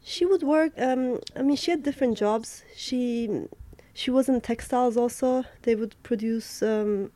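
A young woman from Turkey, an artist answering interview questions in a thoughtful, halting way, with many fillers and restarts. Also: on the high side, warm tone.

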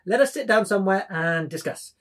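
A man's voice: high-pitched, expressive, brisk, a bit bright. A man acting a drunken young poet, rambling, philosophising and defiant, in a dramatic table reading. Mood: rambling, lofty.

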